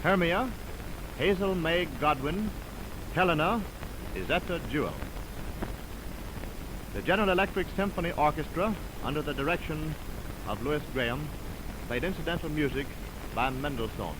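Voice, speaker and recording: Old noisy 1920s radio recording, american guy announcer, slightly high voice, formal and measured, very careful diction. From United States. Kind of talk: 1920s radio announcing, scripted credits and sponsor message. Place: radio studio. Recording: noisy 1929 radio film-soundtrack recording.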